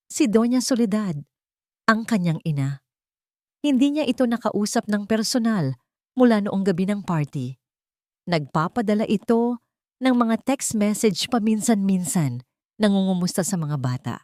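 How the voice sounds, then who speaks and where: A woman reading a scripted love story as its narrator, in measured, deliberate, dramatic phrases. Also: on the low side, darkish tone, no room sound, clean studio sound.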